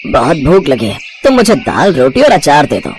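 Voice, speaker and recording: A man playing a demanding, scornful travelling merchant with a husky voice in a story. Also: on the high side, huge pitch swings, normal speed, darkish tone, slight background noise. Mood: hungry.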